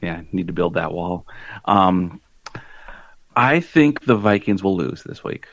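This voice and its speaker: A man with a very low, deep voice chats about sports in a halting, wry way, leaning on "um" and "you know." The recording is dry and a little dull, like a lo-fi mic in a dead room. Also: expressive, no echo. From small-town Minnesota. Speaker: podcast co-host. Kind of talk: casual sports chat.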